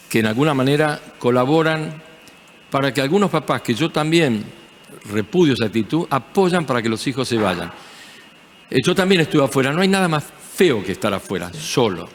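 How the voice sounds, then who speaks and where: Emphatic Argentine TV host. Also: male voice, medium pitch, big-room sound, slight background noise. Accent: Argentine Spanish. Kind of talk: TV panel discussion.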